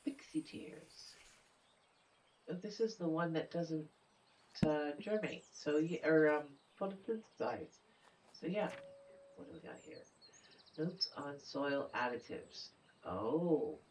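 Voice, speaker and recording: A woman with a stuffy nose playing a video game, thinking out loud in casual commentary and sometimes dropping to a mutter or whisper. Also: deep voice, animated, slow pace, far from the mic, rough recording. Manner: thinks aloud, muttering and half-whispering while working things out. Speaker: gameplay streamer. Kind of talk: casual let's play commentary.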